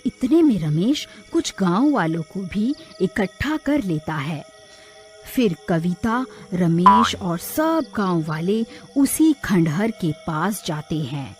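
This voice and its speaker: A woman's voice: mid-range voice, very animated, slow, soft background music. A woman reading scripted narration for a cartoon horror story.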